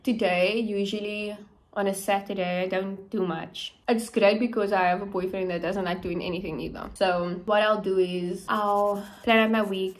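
A woman's voice: medium pitch, steady tone, moderate pace. A young woman, an actress talking casually to the camera at home in an upbeat, filler-heavy way. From South Africa. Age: young adult. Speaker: actress vlogger. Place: small room indoors at home.

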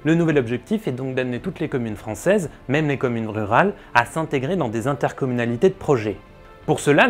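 A young adult man with a French accent presenting a scripted explainer, didactic with playful colloquial asides, recorded indoors on a lapel mic. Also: medium pitch. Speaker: presenter of an educational video. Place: small indoor room. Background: background music.

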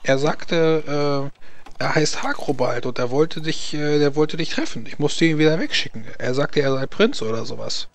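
A man's voice: fairly high pitch, lively intonation, normal speed. A gossipy old landlady, played by a man in a tabletop game. She fusses in a motherly way and stumbles over her words as she chatters. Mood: fussing, helpful.

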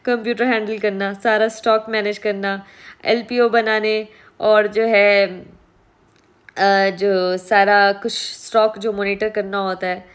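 A woman's voice: medium pitch, level tone, slow, clear, resonant tone. A young woman vlogging casually to a phone camera at home, explaining what she has learned running a restaurant and mixing in English words. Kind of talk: casual talking-head vlog. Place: small indoor room at home. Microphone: phone camera at close range.

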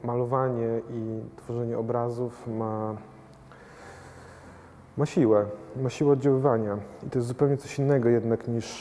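Halting, thoughtful man in a reverberant hall. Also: mid-range voice, flat delivery, mellow. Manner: thoughtful, halting, many fillers and restarts. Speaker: painter. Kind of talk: gallery interview. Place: large gallery hall with paintings. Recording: long hall reverb, faint noise.

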